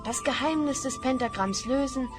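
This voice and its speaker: A woman's voice: high voice, moderate pace, over music, lightly acted. A woman playing an earnest, polite young hero on a quest in a children's fantasy radio play. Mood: resolute.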